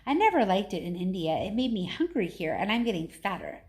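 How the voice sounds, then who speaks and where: A woman's voice: medium pitch, very animated, fairly quick, a little mellow, lightly acted. A woman voicing a thin, anxious little girl, timid and earnest with a tremulous manner, in a storybook reading.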